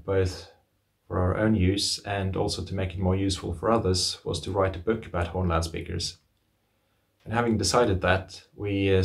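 Deep-voiced man. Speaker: loudspeaker engineer and author. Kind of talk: informal presentation to camera.

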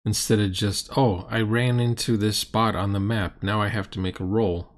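A man's voice: fairly low pitch, steady tone, normal speed. A middle-aged man giving casual, critical commentary on a game recording, exasperated, mocking and emphatic. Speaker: host reacting to a game recording. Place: dim room indoors.

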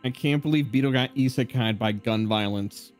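A man's voice: medium pitch, normal speed, soft background music. A man chatting casually and jokingly as a player on a tabletop game stream. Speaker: player, horror host and voice actor.

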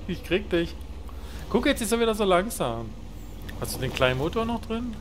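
A man's voice: sits high, sing-song, moderate pace, noisy background. A man chatting casually and joking while playing a tank game with friends, exclaiming often. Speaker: gamer. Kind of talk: casual gaming chat.

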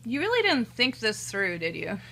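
A woman's voice: medium pitch, sing-song, moderate pace, creaky, fairly bright tone, a little hiss or hum. A sarcastic, amused woman talking back mockingly in a casual phone conversation, recorded in a small room. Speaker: call recipient.

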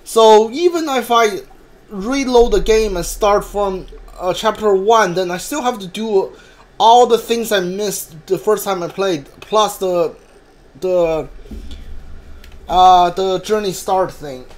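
High-pitched man rambling through a game stream. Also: takes it slowly. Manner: hesitant, repetitive, rambling. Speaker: game streamer talking to live chat. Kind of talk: casual live gameplay commentary.

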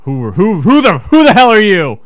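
A man's voice: high voice, huge pitch swings, normal speed, no room sound, studio quality. A man playing a panicky, rage-prone castaway in a comic radio play, overdramatic and stammering. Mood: alarmed melodrama.

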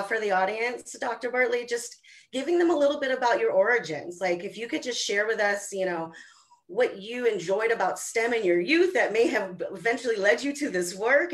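An eager woman moderates an online interview, setting up her first question for the guest. She speaks at an ordinary pitch from a living room over a webcam. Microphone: webcam on video call.

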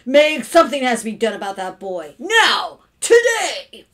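A woman's voice: fairly high pitch, very animated, measured pace, fairly bright tone, voice pushed up. A woman voicing an angry, grumpy father in a children's storybook, emphatic and indignant. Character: angry, exasperated grumpy father, adult man. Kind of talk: children's storybook read-aloud.

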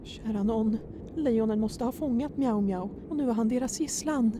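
A woman's voice: fairly high pitch, natural voice. A woman voicing a worried, reproachful female gorilla in a cartoon fan dub. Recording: clear background noise.

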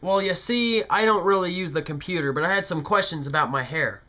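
A man's voice: high-pitched, brisk, pushed and bright, a little hiss or hum. A young American man making a prank phone call as a polite, hesitant, folksy older customer. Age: young adult. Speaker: prank caller. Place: small bedroom, indoors. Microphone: camera at close range, talking into a speakerphone held in hand.

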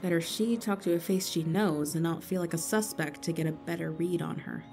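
An actress plays a businesslike policewoman in an audio drama. Her voice is slightly low and dark, with a dry, suspicious, matter-of-fact manner, over some music. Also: steady tone, a little fast, lightly acted. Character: businesslike, suspicious, wry female police investigator.

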